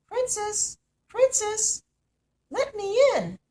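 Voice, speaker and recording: Woman voicing an insistent storybook frog, swooping pitch. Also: very animated, very slow, mellow, heavily acted. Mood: calling out. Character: big ugly green frog, male, pleading and insistent.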